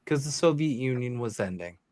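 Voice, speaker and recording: A man's voice: medium pitch, expressive, fairly quick, darkish tone, no room sound. A man chatting casually on a political panel, telling stories with swearing and acted-out quotes. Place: online group call.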